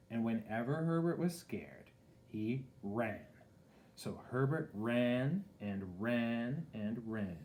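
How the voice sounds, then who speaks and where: A man's voice: fairly low pitch, mellow, slight background noise. A man reading a picture book aloud expressively, with casual asides to a young listener.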